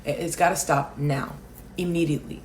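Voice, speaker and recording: Loud, emphatic woman commentator. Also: sits low, steady tone, a little fast, a little hiss or hum. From Alaska, raised in Hawaii. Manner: loud, emphatic, rising to near shouting on key points. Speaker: political commentator host. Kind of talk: impassioned commentary reading viewer comments.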